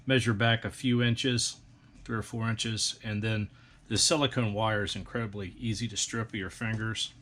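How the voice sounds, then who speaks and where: A man with a fairly low voice walks through a build one step at a time, calm and matter-of-fact. He is recorded outdoors, with a faint hiss of background noise behind him. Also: normal speed. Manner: calm, practical, methodical. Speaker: instructor. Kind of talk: step-by-step how-to instruction.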